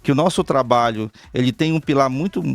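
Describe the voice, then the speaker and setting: A Brazilian man hosts a casual interview, speaking earnestly in long flowing sentences. His voice sits at a middling pitch. The sound is dry and close. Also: a little fast, dry recording. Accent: Brazilian Portuguese. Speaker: talk show host and artist manager.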